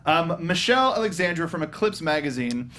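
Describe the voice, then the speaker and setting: A man with a slightly high voice co-hosts a casual film discussion. His delivery is halting and stop-start. A faint rumbling noise sits behind him. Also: very animated, pushed and bright. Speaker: co-host of a film review show.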